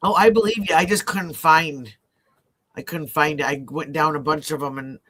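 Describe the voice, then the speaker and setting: A man's voice: a little high, steady tone, moderate pace, sharp tone. A man with a mixed Midwestern accent, partly Ohio and partly Southern, chatting loosely on a live true-crime stream with lots of fillers and restarts. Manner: rambling, lots of fillers and self-restarts, easy laughs. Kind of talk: casual live-stream chat.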